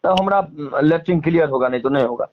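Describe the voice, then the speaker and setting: A man's voice: a little high, fast, muffled recording, a little hiss or hum. A man with a Bihari accent speaking casually as an interviewee, emphatically airing grievances in colloquial, repetitive phrasing. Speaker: interviewee, singer and stage performer.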